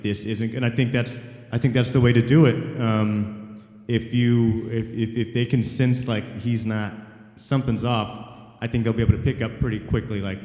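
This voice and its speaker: A man's voice: medium pitch, mellow. A male voice actor on a convention panel, speaking candidly and a bit frustrated. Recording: echoey convention hall.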